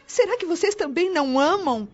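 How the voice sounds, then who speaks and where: An actress with a Brazilian accent playing a grieving, pleading young daughter in a radio soap opera. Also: female voice, very high voice, lively intonation, brisk. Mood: hurt, pleading. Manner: plaintive, pleading. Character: grieving young daughter missing her dead father. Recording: muffled audio with music underneath.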